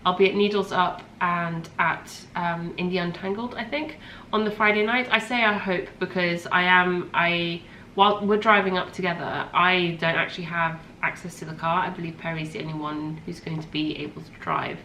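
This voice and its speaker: A woman's voice: lowish voice, moderate pace, few pauses, pushed and bright. Chatty congested woman, echoey room. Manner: rambling, chatty, with many ums and self-corrections. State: congested from a cold. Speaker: podcast host. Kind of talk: casual chat. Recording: echoey room with faint ambient noise.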